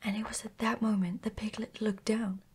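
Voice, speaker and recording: A woman reading a children's bedtime story in a hushed, gentle, unhurried ASMR whisper. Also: medium pitch, level tone, breathy edge.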